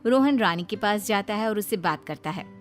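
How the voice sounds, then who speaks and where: A woman's voice: mid-range voice, animated, moderate pace, faint music behind. Woman narrating a cartoon moral story.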